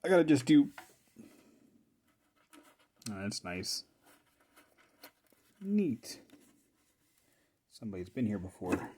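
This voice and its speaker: A man giving a casual hands-on show-and-tell as a hobbyist repairer, thinking aloud in short, halting, informal phrases. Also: fairly low pitch, sing-song, slight background noise. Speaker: hobbyist repairer presenting his own video.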